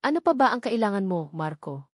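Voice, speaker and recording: A woman's voice: mid-range voice, very animated, a little fast, no echo, clean studio sound. A woman with a Filipino accent voicing a hurt, guarded doctor and mother in a dramatic story. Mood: cold, hurt.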